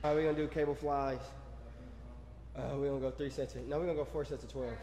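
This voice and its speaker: Young man, laid-back gym vlogger, mellow voice, long echo from a camera across the room. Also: a little high, fairly even intonation, fast. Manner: laid-back, talks between sets in slang. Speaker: fitness vlogger. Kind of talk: casual workout vlog narration. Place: large indoor gym at night. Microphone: camera on a stand across the room. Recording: echoey gym, far from camera.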